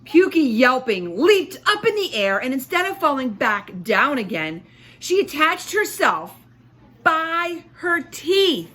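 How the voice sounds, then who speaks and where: A woman teacher reading a storybook aloud to children with expressive emphasis, pausing to explain and ask questions. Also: high voice.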